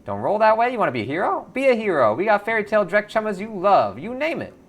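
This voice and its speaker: A man's voice: high voice, wildly swooping pitch, fast talker, pitched above the performer's own voice, full character voice. A man voicing a twitchy orc drug dealer in a game, with repetitive, slangy sales patter. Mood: manic, eager.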